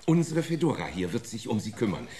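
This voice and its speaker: A man playing a brisk, officious, slightly flustered executive in a German radio play. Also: on the low side, very animated, warm tone.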